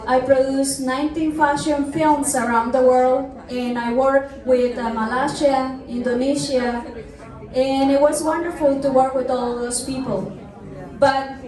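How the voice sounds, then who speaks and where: Slow, high-voiced woman speaking in a hall. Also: darkish tone. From Mexico. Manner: measured, thankful, with pauses and fillers. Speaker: film producer giving a speech. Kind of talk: short speech at an event. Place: large hall at a festival. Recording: echoey hall, mic far off.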